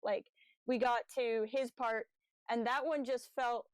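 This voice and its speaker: Lady cohosting a podcast, voice a little high, super dry recording, just casually chatting thru a review. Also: fairly even intonation, unhurried, clean tone, no room sound. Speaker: podcast co-host.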